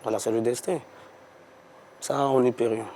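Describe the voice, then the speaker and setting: A man, a former footballer, giving halting, laid-back answers. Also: mid-range voice, steady tone, mellow, a little hiss or hum. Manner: hesitant, laid-back answers with many fillers. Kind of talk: interview answers.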